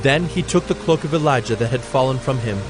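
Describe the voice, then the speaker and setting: A man with a medium-pitched voice narrates scripture. His delivery is solemn and scripted, telling the story over background music. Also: fast talker.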